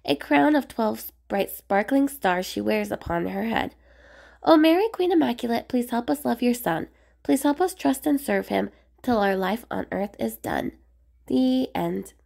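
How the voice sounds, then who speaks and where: A woman reading a rhyming children's picture book aloud in a measured, expressive sing-song way. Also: a little high, gravelly. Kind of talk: storybook read-aloud narration of rhyming verse.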